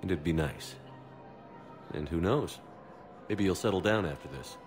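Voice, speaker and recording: An actor playing a steady, supportive military commander in his thirties in a video game, calm, direct and reassuring. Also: low voice, unhurried, music in the background. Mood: warm, easy. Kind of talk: video game cinematic acting.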